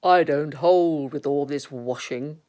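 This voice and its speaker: A woman's voice: low-pitched, darkish tone. A woman voicing a gloomy old male donkey who grumbles and complains mournfully in a children's storybook. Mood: gloomy, grumbling. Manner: slow, mournful, complaining, muttering.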